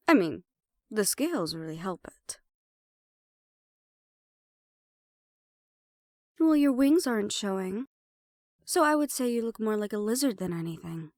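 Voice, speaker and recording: A woman's voice: mid-range voice, a bit bright, dry recording, clean studio sound. A woman playing a sly, defiant half-banshee siren in an audio roleplay, sarcastic and playful as she mocks the listener. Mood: gleeful teasing.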